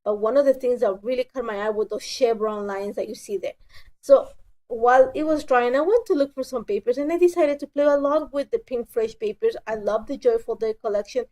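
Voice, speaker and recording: A woman's voice: a little high, fairly quick, warm tone. A woman with a stuffy cold, narrating a scrapbooking tutorial in a chatty voice, often correcting herself and apologizing. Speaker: scrapbooking crafter. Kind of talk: casual voice-over tutorial.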